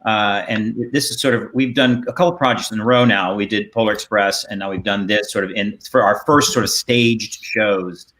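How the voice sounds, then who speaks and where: A man hosting a casual interview over a video call, halting and rambling as he repeats words. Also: on the low side, steady tone, a bit bright. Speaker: host and theater director. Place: indoor room on a video call.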